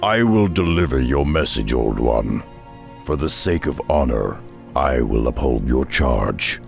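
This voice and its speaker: Weary half-orc warrior, extremely low, slow, solemn, gravelly, video game. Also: male voice, animated, heavily acted. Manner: brooding, solemn, slow-spoken. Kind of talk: video game cutscene acting. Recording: music behind the voice.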